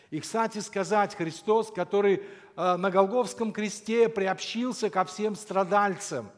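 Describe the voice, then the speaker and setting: A man with a rather high voice delivers a sermon with solemn, deliberate phrasing. He speaks as a preacher at a church conference. The sound carries the long reverberation of a big hall. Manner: solemn, deliberate, with quotations and scripture; frequent throat clearing.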